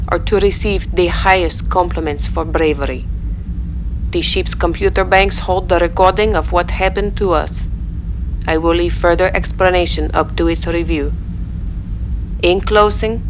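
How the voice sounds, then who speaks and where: A solemn female starship captain, played by an actress speaks a last log in a sci-fi audio drama. Her voice is on the low side and her delivery formal, grave and measured. A clear wash of ship noise lies behind her.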